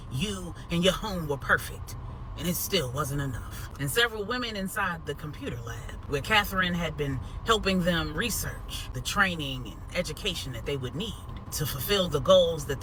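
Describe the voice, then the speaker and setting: A woman narrating a story for adults in a dramatic, deliberate way, with measured phrasing. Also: fairly low pitch, background noise. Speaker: storyteller, host.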